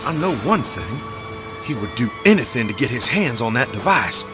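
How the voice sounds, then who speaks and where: A man's voice: mid-range voice, big pitch swings, moderate pace, music in the background, natural voice. An actor playing an earnest, wary male adventurer with dramatic delivery in an audio drama.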